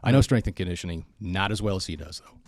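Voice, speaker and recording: A man's voice: low-pitched, fast, no echo. A man who teaches personal training, chatting casually on a podcast in a chatty, self-deprecating way with many restarts.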